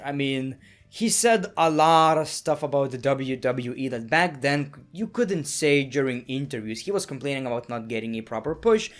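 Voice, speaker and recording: Sarcastic man with a Lithuanian accent. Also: medium pitch. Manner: sarcastic, jokey, swings between loud bursts and mumbled asides. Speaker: YouTube wrestling reaction host. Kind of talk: casual comedic commentary.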